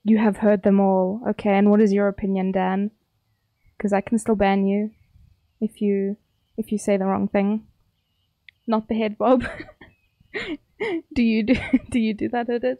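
A woman's voice: mid-range voice, fairly even intonation, measured pace, pauses often, no echo, highs cut off. A young woman with a South African accent, chatting casually and teasingly with her viewers while streaming a game. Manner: chatty, playful, teasing chat.

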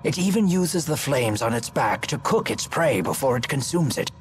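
A man voicing a courtly, wise elderly gentleman in a video game, formal, measured and precise. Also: medium pitch, expressive, natural voice. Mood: composed. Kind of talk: English video game voice acting. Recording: game music behind the voice.

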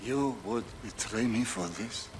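A man's voice: mid-range voice, unhurried, close to an everyday voice. An actor playing a ruthless male warlord commander in a video game, cold and threatening. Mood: menacing. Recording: game audio under stream noise.

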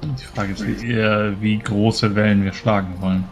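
Male role-player, fairly low pitch, in-character game talk, faint music underneath. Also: little pitch movement, moderate pace. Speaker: tabletop role-playing player.

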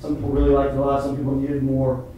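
A middle-aged man speaking as a playwright at an informal post-show talkback, halting and earnest as he explains. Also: medium pitch, completely flat delivery, mellow. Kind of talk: informal discussion with an audience. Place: indoor theatre stage, seated on the floor. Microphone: far camera microphone. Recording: distant echoey hall recording with noise.

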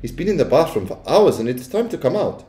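A man's voice: fairly high pitch, big pitch swings, quick pace, dark tone, reverberant, voice pushed up. A man voicing a polite, composed, chatty old grandmother in a storybook. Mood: cool chattiness.

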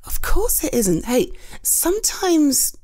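A British woman playing a gentle, caring friend in a comfort audio roleplay, soothing and reassuring with hesitant pauses. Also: high voice, sing-song, dry recording, voice pushed up, full character voice. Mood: soothing, affectionate.

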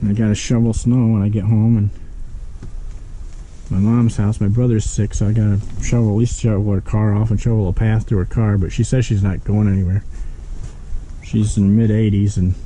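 A man's voice: lowish voice, flat delivery, moderate pace, warm tone, slight background noise, rough recording. A middle-aged man rambling to himself in casual running commentary while ice fishing, recorded on a body-worn action camera inside a small shanty.

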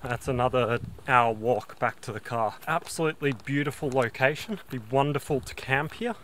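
A young man talking to a handheld camera on a bush hike, relaxed and enthusiastic about the scenery. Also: mid-range voice, moderate pace, a little hiss or hum. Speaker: hiking vlogger. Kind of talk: casual vlog narration. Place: outdoors on a bush trail and beach. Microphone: handheld camera at arm's length.

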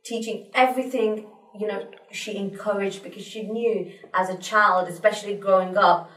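A woman's voice: mid-range voice, steady tone, normal speed, fairly bright tone. A young woman, a teacher, speaking as a studio guest, fluent and chatty with frequent fillers. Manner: fluent, chatty, peppered with you know. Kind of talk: studio interview. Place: small studio in front of a pink backdrop. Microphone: desk mic on a stand. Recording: roomy sound from a distant mic.

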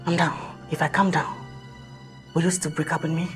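A man's voice: fairly high pitch, flat delivery, brisk, a bit bright, faint music behind, natural voice. Man acting a clingy, pleading Nigerian boyfriend. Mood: panicked pleading. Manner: pleading, repetitive, theatrical. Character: clingy, lovesick, penniless young boyfriend, pleading and dramatic. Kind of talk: comedic melodrama acting.

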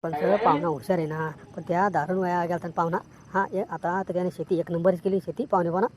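Lady doing a nosy village fella, rural maharashtrian accent, coaxing and quick, lowish voice, cartoon comedy. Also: fairly even intonation, close to an everyday voice. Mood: admiring, chatty. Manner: insistent, repetitive. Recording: noticeable background noise.

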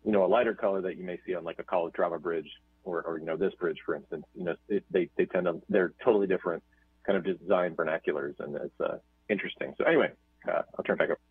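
A man's voice: low-pitched, fairly even intonation, quick pace, husky, no room sound. A man chairing a board meeting on a video call, rambling and repeating words. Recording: tinny phone-like call audio.

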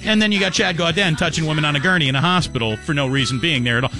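A man's voice: a little high, rapid-fire, over loud music. A man hosting sports talk radio, commenting in a mocking, incredulous, jokey manner. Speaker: radio talk show host.

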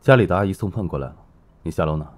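Man acting a deep, husky, cool rich gentleman. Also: expressive. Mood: curt. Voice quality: husky, low. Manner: terse, cool, unhurried, gently teasing. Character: cool, terse, teasing rich young businessman. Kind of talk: audio drama acting.

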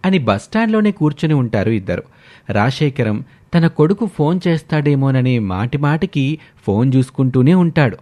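A man's voice: mid-range voice, sing-song, moderate pace, warm tone. A man reading a scripted story as a storyteller.

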